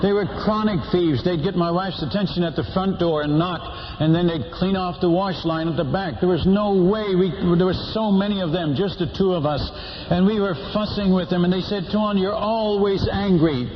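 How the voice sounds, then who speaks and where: A man's voice: sits high, normal speed, hardly any pauses, slight background noise. An older man from the Netherlands, a missionary, telling his stories to a church audience in a folksy, humorous way, full of restarts and fillers. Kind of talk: storytelling talk to a church audience. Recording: muffled hall recording with long reverb.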